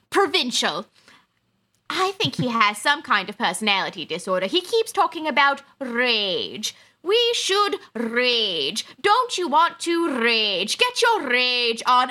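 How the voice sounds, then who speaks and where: Haughty, bright-voiced English countess. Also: female voice, fairly high pitch, heavily acted. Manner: pompous, theatrical, indignant. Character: haughty, easily offended bodiless ship-computer countess, snobbish and melodramatic. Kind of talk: comic audio drama acting.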